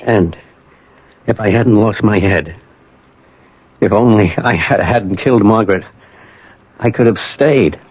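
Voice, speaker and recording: An actor playing a troubled, wistful American man in a radio drama, speaking with halting, earnest emotion. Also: on the low side. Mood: anguished, insistent. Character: troubled, wistful man insisting he relived his past. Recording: dull old radio broadcast, music behind.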